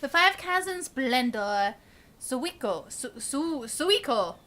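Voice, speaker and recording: A woman's voice: high-pitched, sing-song, slow pace, fairly bright tone, slight background noise. A woman live streaming a game, reading its dialogue aloud and adding short casual reactions.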